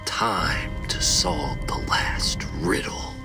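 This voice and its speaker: A man playing a crazed, obsessive villain in a motion comic, with a slow, ominous, haunted delivery. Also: deep voice, in a whisper, full character voice. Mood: grim, hushed. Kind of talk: dramatic motion comic voice acting. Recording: distant, under loud music.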